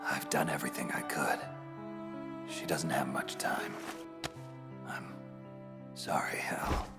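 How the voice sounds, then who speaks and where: An actor playing a grim, battle-hardened middle-aged soldier with a gravelly growl, in a video game drama. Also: male voice, extremely low pitch, fairly even intonation, slow pace, heavily acted. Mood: somber. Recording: long reverb with music behind.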